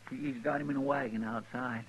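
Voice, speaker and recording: An actor playing a folksy, deferential frontier sidekick in a 1950s radio western, with a husky, rustic delivery. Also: male voice, mid-range voice, steady tone, fairly quick, very noisy, lightly acted. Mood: earnest.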